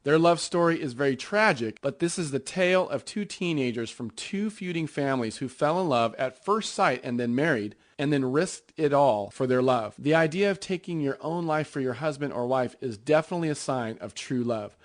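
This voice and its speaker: A man's voice: mid-range voice, animated, normal speed, hardly any pauses, no echo. A man working as a relationship coach, co-presenting a scripted self-help audio talk.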